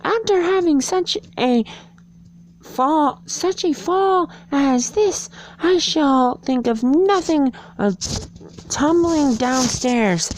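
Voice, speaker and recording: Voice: sits high, voice pushed up, exaggerated voice, poor audio. A reader voicing a young storybook girl who muses to herself with self-assurance. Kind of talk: storybook character voice.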